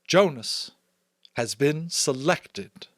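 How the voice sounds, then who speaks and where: A man voicing a solemn, commanding female elder in a firm, deliberate, declamatory way for an audiobook. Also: a little high, lively intonation, dry recording, clean studio sound, voice pushed up, exaggerated voice. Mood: solemn, formal. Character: solemn commanding female chief elder addressing her community. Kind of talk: dramatic audiobook character voice.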